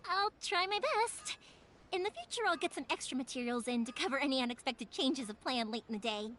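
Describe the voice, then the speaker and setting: A woman voicing a cheerful, chatty, excitable young fireworks maker in an anime-style video game. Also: sits high, normal speed, a little hiss or hum. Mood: cheerful, impressed. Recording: music behind the voice.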